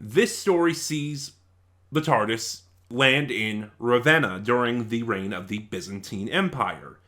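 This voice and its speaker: A man's voice: mid-range voice, lively intonation, a little slow, pushed and bright. A man reviewing in a casual, unscripted way, rambling and often losing his thread and correcting himself. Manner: rambling, scatterbrained, self-correcting.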